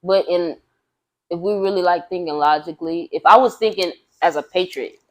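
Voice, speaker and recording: A woman's voice: fairly low pitch, moderate pace, poor audio. A woman with a light voice, a singer talking loosely with many fillers as a podcast guest. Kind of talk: casual conversation.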